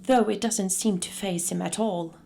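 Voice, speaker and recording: A woman's voice: medium pitch, normal speed, creaky, dark tone, close to an everyday voice. A woman playing the storyteller, narrating a classic tale as scripted radio drama. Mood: measured.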